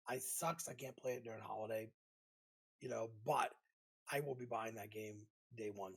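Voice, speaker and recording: A man's voice: medium pitch, steady tone, normal speed, vocal fry, slightly rough. A man co-hosting a podcast, chatting informally and giving his opinions on games. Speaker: podcast co-host. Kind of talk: casual chat about games.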